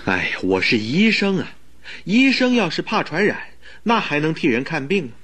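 Radio drama casting: loyal, worried doctor, lively swooping delivery, husky, insistent. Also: male voice, a little high, moderate pace. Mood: insistent, indignant. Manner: earnest, questioning. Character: concerned, insistent male doctor, loyal friend.

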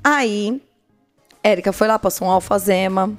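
A woman's voice: mid-range voice, a little slow. Brazilian woman telling playful stories over music. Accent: Brazilian Portuguese. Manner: colloquial, playful, acts out quoted dialogue. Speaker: podcast host telling listeners' dating stories. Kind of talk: casual comic storytelling chat.

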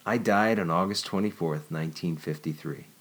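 A man reading aloud, voicing a calm, courtly male ghost in a low, soft, measured voice. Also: natural voice. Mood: serene.

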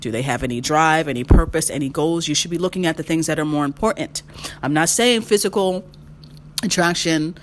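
A woman in her mid-thirties hosting a solo podcast, giving emphatic advice, repeating her key phrases and swinging her pitch when she makes a point. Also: fairly quick, a little hiss or hum. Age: 30s. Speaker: podcast host.

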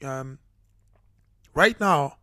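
A man's voice: a little high, expressive, very slow, sharp tone, dry recording, studio quality. A man from Cameroon hosting his own talk show, speaking earnestly with many fillers and restarts. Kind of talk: solo podcast monologue. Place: United Kingdom.

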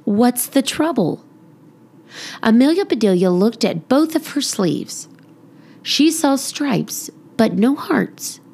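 A woman reading a children's story aloud as expressive narration for young listeners. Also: fairly high pitch, slow, creaky. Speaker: podcast storybook reader.

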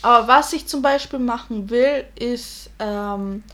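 A woman's voice: on the high side, slow, fairly bright tone. A young woman, chatting casually and laughing often. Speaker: co-host. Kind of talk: casual chat.

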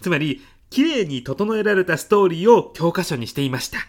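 A man in his fifties playing an earnest department manager who presents in a young woman's girlish manner, in polite, expressive business speech for a comedic radio drama. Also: high voice, a little fast. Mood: gushing, dreamy. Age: 50s.

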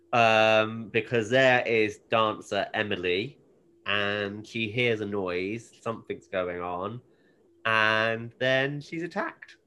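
Man recapping casually in a halting way. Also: lowish voice, bright, forceful tone. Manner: halting, informal storytelling. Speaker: podcast co-host. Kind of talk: casual episode recap, conversational.

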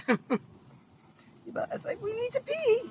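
Hoarse, laughing New passenger. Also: male voice, very high voice, big pitch swings, slow, a little mellow, a little hiss or hum. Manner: laughs often, chatty. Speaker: rideshare passenger. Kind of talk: casual chat. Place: inside a car.

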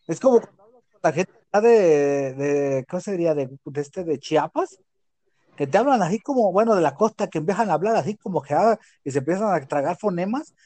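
A middle-aged man with a Jalisco Mexican accent, chatting casually as a co-host. He tells stories with lots of energy and coarse slang. His voice sits a little high and sounds warm and mellow. The recording is close and dry. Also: brisk, dry recording. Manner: animated storyteller who acts out quotes, with crude slang. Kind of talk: casual chat.

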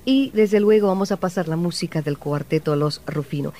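A woman's voice: fairly low pitch, normal speed, warm tone. A woman hosting a radio program, narrating a group's history and interviewing a guest with open enthusiasm and admiration. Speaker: radio show host.

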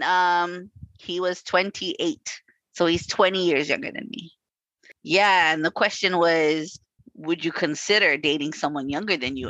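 A woman in her forties co-hosting a casual chat, frank and animated, laughing often and swearing freely. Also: on the low side, no room sound, poor audio. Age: 40s. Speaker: podcast co-host.

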